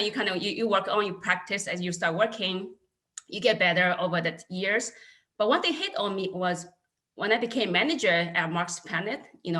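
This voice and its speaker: Low-pitched bright woman, Chinese accent. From China. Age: 30s. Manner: earnest, candid storytelling. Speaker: panelist, accounting manager. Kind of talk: casual panel talk.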